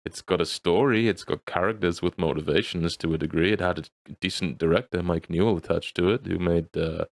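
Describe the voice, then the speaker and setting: A man's voice: low voice, fairly quick, creaky, no echo. A man co-hosting a gaming podcast, chatting casually and hedging his opinions as he goes.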